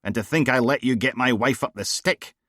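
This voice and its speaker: A man voicing a brash, crude middle-aged woman who runs a police team, loud and mocking. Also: mid-range voice, fairly quick, no room sound, clean studio sound, pitched above the performer's own voice, lightly acted. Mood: outraged.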